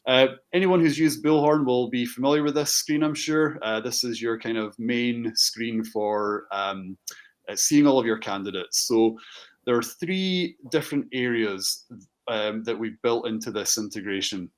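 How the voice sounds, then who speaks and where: A man's voice: mid-range voice, unhurried. A man speaking as a company director presenting a product, in a conversational, hesitant way with repeated words.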